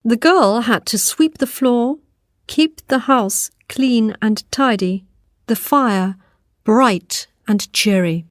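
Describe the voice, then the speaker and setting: Slow-paced woman telling a fairy tale. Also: on the high side, no echo, studio quality. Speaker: storyteller. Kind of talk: scripted fairy tale narration.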